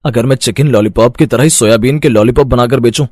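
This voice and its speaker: A man's voice: mid-range voice, fast, a little mellow, no room sound, lightly acted. Man playing a henpecked village street vendor, dry recording. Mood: pleased. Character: weary, henpecked village chicken-lollipop street vendor husband. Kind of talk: animated moral-story voice acting.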